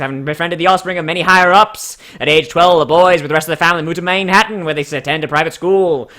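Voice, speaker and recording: Casting: a man acting a breathless horse race caller, high, loud and forceful, parody track commentary. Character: excitable horse race announcer, booming and breathless.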